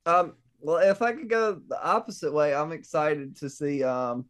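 A man on a casual podcast, talking hesitantly and filling his sentences with ums and likes. His voice is a little higher than most men's. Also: normal speed.

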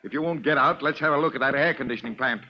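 An actor playing a dutiful, commanding school principal, speaking firmly and urgently in an old radio drama. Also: male voice, medium pitch, very fast, lightly acted. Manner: firm, urgent, theatrical. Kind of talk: 1940s radio acting. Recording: dull old radio transcription.

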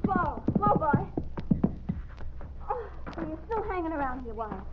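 A woman's voice: high-pitched, very animated, unhurried. An actress playing an earnest, spirited young American frontier woman in an old radio western. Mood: defiant. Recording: tinny, noisy old radio recording.

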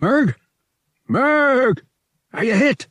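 Brash young starship pilot for an audiobook, performed by a man: colloquial, urgent, high-pitched delivery, slightly husky. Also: big pitch swings, very slow, fairly bright tone, no room sound, clean studio sound, exaggerated voice. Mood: frantic, strained. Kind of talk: audiobook character voice.

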